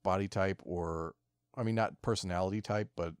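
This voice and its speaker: A man's voice: sits low, fairly even intonation, moderate pace, clear, resonant tone, dry recording, clean studio sound. A man co-hosting a podcast, chatting casually and swearing freely. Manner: informal, profane. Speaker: podcast co-host. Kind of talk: casual chat.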